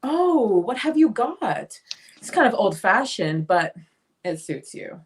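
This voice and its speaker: Casting: breezy, slightly vain sister female actor, swooping intonation, medium pitch, affectionate, play reading. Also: sing-song, slow pace. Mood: chatty, breezy. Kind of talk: dramatic script reading.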